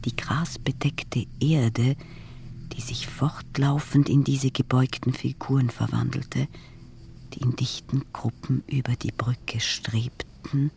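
Male reader for poetic audio drama: unhurried, gravelly, breathy near-whisper, delivered in measured fragments. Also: mid-range voice, fairly even intonation, darkish tone, recorded from a distance. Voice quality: breathy, often half-whispered. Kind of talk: literary recitation in a radio sound composition. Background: music.

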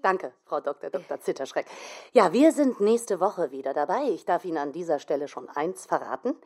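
Lowish-voiced female radio reporter. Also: sing-song, a little fast, a little mellow. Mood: upbeat, official. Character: chirpy female radio reporter signing off live. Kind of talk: radio play parody of broadcast reporting.

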